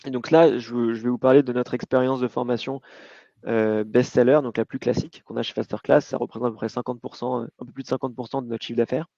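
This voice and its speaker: A man's voice: mid-range voice, level tone, quick pace, warm tone, no echo. Male founder, informal storyteller with stammering restarts, dull lo-fi dry call sound. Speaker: company founder and guest speaker. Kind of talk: casual webinar talk.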